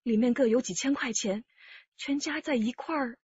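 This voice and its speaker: 一位女演员在广播剧中扮演精明的年轻上海妻子，也是劫案受害者，声音带气声。. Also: female voice, fairly high pitch, normal speed, darkish tone, husky, dry recording, dull audio. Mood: shaken. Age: young adult. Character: shrewd young Shanghai wife, robbery victim. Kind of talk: radio drama acting.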